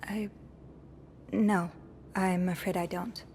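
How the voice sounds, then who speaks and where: An actress playing a meek, evasive hotel maid in a 1950s mystery game, speaking hesitantly. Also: female voice, fairly low pitch, steady tone, slow pace, a little mellow, slight background noise. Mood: uneasy.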